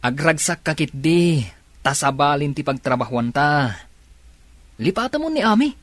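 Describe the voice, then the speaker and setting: A man's voice: fairly high pitch, expressive, moderate pace, no echo, natural voice. An actor playing a reassuring male buddy in a radio drama, with a Filipino Ilocano accent. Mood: upbeat.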